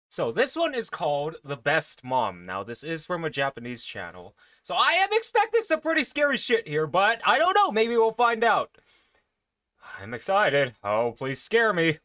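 A young man giving casual, expressive reaction commentary, exclamatory and quick to swear. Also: a little high. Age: young adult. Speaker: reaction video host. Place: small room at home.

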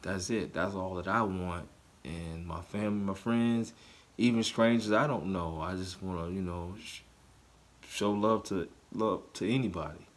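Deep-voiced man rambling to camera. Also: steady tone, moderate pace. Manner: loose and rambling, many fillers. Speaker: vlogger. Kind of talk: casual talking-to-camera vlog. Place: living room with couch, indoors.

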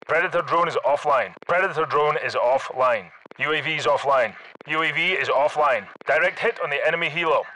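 An actor playing a tough, commanding Scottish special forces soldier who gives clipped military callouts in a shooter video game. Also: male voice, fairly high pitch, sharp tone, low-quality recording. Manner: clipped, terse radio-style military callouts. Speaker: video game squad leader.

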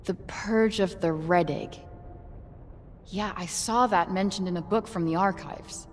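An actress playing a tough, wisecracking young heroine in a video game, talking in casual, sarcastic slang. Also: female voice, fairly low pitch, measured pace, faint music behind, natural voice. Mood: casual, wry. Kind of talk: video game cutscene acting. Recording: long hall reverb.

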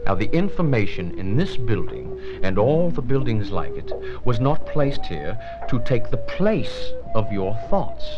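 An actor playing the kindly, thoughtful ghost of an old statesman in an educational film, measured and gently instructive. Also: medium pitch. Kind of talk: 1980s educational film acting. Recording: dull 1980s 16mm film soundtrack, music behind.

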